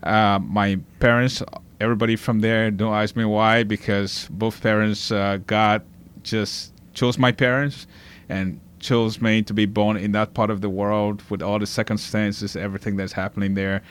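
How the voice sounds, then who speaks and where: A man from Liberia in his forties with a low voice, a guest telling his life story earnestly in a studio. He talks about faith as he speaks. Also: little pitch movement, moderate pace, clear, resonant tone.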